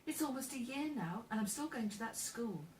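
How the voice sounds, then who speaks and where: A woman's voice: a little high, expressive, moderate pace, voice pushed up, natural voice. Woman voicing a plucky farm boy, echoey room. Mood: earnest, cheerful. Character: thirteen-year-old farm boy, plucky, downtrodden, kind. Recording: roomy, distant mic, faint background noise.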